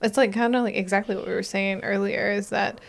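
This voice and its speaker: A young woman co-hosting a podcast, talking in a reflective, soft-spoken way with many fillers. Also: medium pitch, steady tone, fairly quick, creaky. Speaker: podcast co-host. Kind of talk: casual chat.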